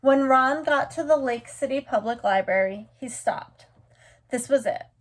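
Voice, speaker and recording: A woman with a fairly high voice reads a picture book aloud for children. Her storytelling is expressive and deliberate, shaped for young listeners.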